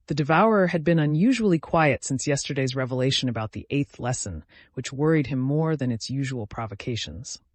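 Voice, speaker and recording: A man reading scripted fantasy narration in a solemn, measured, dramatic way. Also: mid-range voice, expressive, dry recording.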